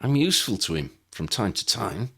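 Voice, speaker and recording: A man's voice: on the low side, very animated, normal speed, fairly bright tone, husky, pitched above the performer's own voice, full character voice. A man voicing a cocky, stubborn young male reporter in an audiobook reading, with a bold, insistent delivery. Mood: breezy.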